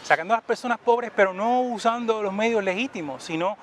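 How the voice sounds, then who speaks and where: A man's voice: high-pitched, a little fast, slight background noise. A man in his fifties from New York telling a personal story in a reflective, conversational way, often checking in with a question. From United States (New York). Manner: reflective storytelling, tag questions, grows emphatic. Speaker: interviewee. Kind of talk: personal testimony in an interview.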